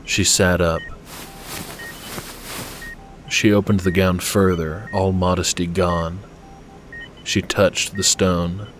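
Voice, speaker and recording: A man narrates scripted fiction in a deep voice. He takes his time over each phrase, and there is faint noise behind him. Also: flat delivery, takes it slowly, long pauses. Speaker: audiobook narrator.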